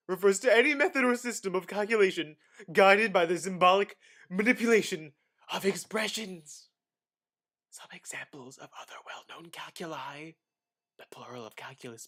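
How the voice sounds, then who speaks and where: A man giving a mock-dramatic scripted reading in a theatrical manner, with halting pauses and swings between hushed and loud delivery. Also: fairly high pitch. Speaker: reader.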